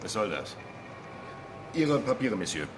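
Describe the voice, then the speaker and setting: A man's voice: on the low side, big pitch swings, measured pace, a crowd in the background. An actor in a German radio drama playing a curt, suspicious airport policeman with a stern, official manner.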